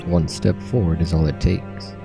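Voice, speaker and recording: A man voicing a stern, mysterious old man from a game, reading his cryptic lines in a solemn, measured way. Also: low-pitched, steady tone, creaky, music in the background. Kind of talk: reading game dialogue aloud.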